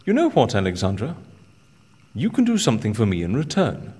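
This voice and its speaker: An actor playing a cheeky, scheming con artist in an audio drama, with a wry, persuasive way of talking. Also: male voice, on the low side, huge pitch swings, brisk, warm tone, husky, full character voice. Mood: playful, cheeky.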